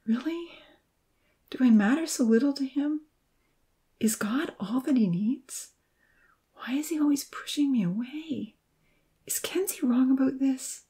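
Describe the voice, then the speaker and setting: A woman voicing an earnest young woman in love in a story, soft-spoken and pleading. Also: medium pitch, big pitch swings, normal speed, voice pushed up, natural voice. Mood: doubting. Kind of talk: storybook character reading.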